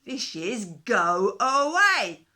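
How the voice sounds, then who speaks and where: A woman voicing a big boastful male dinosaur in a storybook reading, talking slowly, loudly and rudely. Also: on the high side, sing-song, voice pushed up, exaggerated voice. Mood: bossy, shouting. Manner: loud, slow, rude and sarcastic.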